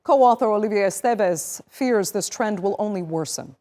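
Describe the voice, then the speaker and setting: A woman hosting a news program, reading a script in a clear, measured way with emphatic openers. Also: medium pitch, lively intonation, dark tone. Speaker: news show host.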